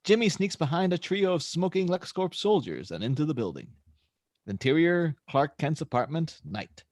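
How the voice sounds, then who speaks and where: A man's voice: a little high, sing-song, moderate pace, darkish tone, no echo, clean studio sound. A man narrating screenplay stage directions at an amateur table read.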